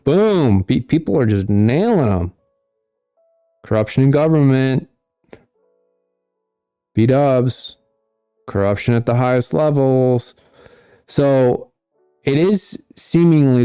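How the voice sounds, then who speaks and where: A man hosting a casual commentary stream, reading out viewer comments in a monotone way. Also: medium pitch, slow, long pauses, smooth, no echo, studio quality, faint music behind. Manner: monotone delivery. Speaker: host.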